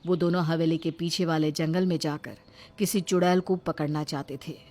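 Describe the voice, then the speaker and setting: A woman's voice: sits low, fairly even intonation, moderate pace, a little mellow. A woman reading scripted narration for a cartoon horror tale as its storyteller.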